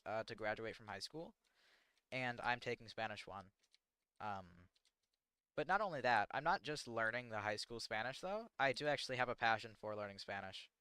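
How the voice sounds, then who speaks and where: Teen American male streamer, bright crisp voice, halting and self-deprecating, dry close mic. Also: medium pitch, no echo. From United States. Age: teens. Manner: halting and rambling, self-deprecating, many fillers. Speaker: livestreamer. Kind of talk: casual livestream chat answering chat questions.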